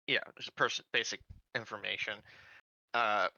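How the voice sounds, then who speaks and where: A man with a lowish, very bright and tense voice narrates a character's background in a tabletop game. He talks casually, building sentences piece by piece. The sound is dull and lo-fi, with little room in it. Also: fairly even intonation, unhurried, creaky, dry recording. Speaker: tabletop roleplaying player.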